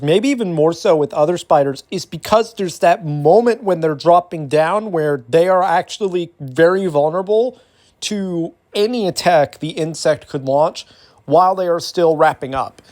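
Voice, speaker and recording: A man giving a detailed educational explainer in ASMR style, restating key points for emphasis as he goes. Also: on the high side. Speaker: educational content creator.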